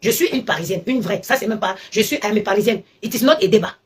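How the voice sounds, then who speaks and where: A woman's voice: medium pitch, fast talker, a little hiss or hum. A woman ranting and lecturing on her live stream, talking emphatically and repeating her points, recorded on a phone. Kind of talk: animated live-stream rant.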